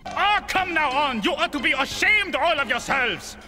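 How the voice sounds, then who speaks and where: A man's voice: extremely high pitch, animated, moderate pace, full character voice. A man playing an eccentric, muscle-obsessed old coach, haranguing bombastically in an anime dub. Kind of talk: animated series dub acting. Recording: loud music behind the voice.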